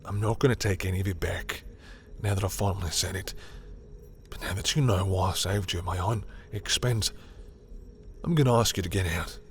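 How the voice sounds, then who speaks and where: Male villain , voiced by an actor, fairly low pitch; guarded, proud, tender confessional romance roleplay, dramatic halting delivery. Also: faint music behind, natural voice. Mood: tender, resigned. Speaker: roleplay audio performer. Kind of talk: intimate second-person romantic roleplay acting.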